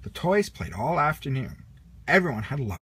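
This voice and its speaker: A man narrating a children's storybook as an expressive read-along. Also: medium pitch, brisk, slightly rough. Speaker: storybook reader.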